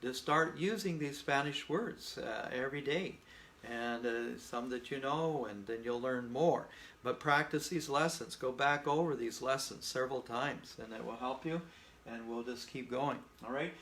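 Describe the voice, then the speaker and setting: A man's voice: mid-range voice, moderate pace, a bit bright. A man whose first language is English, giving a casual spoken Spanish lesson in a patient, folksy way and checking in often with "okay?". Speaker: Spanish teacher.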